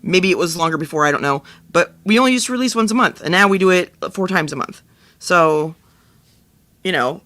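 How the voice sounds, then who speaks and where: Higher-pitched, bright-voiced man, very dry sound. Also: lively intonation, a little fast, no room sound. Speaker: podcast co-host. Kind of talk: casual chat.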